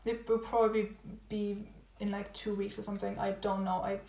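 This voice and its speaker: A young woman chatting casually with livestream viewers, rambling and repeating herself with little laughs, her voice going hoarse. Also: mid-range voice, level tone, normal speed, a little mellow. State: losing her voice. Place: home room. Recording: echoey room, phone livestream.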